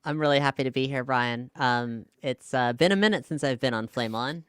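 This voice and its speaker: A man with a smooth, clear voice at a middling pitch, captured close and dry. He is a podcast guest who talks casually, explaining things thoughtfully with a wry touch. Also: brisk, a bit bright, dry recording. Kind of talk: casual conversational interview.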